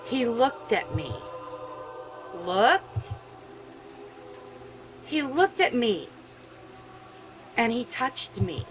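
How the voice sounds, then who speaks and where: A woman voicing a wounded, ashamed young girl in a visual novel, halting, subdued and apologetic. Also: a little high, very animated, faint music behind, exaggerated voice, poor audio. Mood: shaken.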